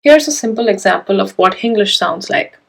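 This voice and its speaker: A young adult woman with an Indian English accent, giving a measured, reflective conference talk. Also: medium pitch, lively intonation, creaky, a bit bright. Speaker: conference speaker.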